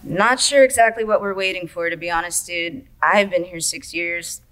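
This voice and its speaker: A woman's voice: fairly low pitch, fairly even intonation, moderate pace, fairly bright tone, soft background music, close to an everyday voice, poor audio. A woman playing a laid-back but needy coma patient who greets newcomers, flippant and slangy, in an audio drama. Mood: resigned. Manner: flippant, slangy, easily offended.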